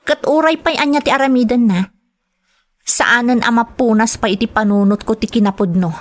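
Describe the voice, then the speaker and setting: A woman's voice: fairly high pitch, moderate pace, natural voice. A woman playing a hurt, resentful young adult elder sister in a radio drama, serious and emotional. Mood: bitter, resentful.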